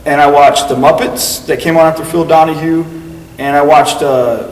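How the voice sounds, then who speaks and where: A man's voice: on the high side, fairly even intonation, moderate pace, recorded from a distance. Rambling man in an echoey room. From United States. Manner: rambling, full of fillers and acted-out quotes. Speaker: guest wrestler. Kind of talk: casual interview storytelling. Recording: echoey room heard from afar with faint noise.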